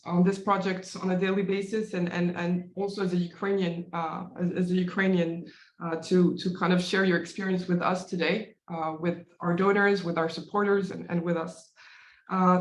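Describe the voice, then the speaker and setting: A woman moderating a conference interview, speaking hesitantly and often repeating words as she puts her questions. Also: lowish voice. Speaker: host, moderator. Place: virtual conference call in an echoey room. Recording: roomy, echoing sound.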